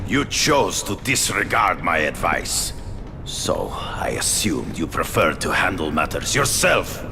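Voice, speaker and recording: Cold commanding hunter, very deep, gravelly, slow, game cutscene, music behind. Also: male voice, big pitch swings, exaggerated voice. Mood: stern. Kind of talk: video game cutscene acting.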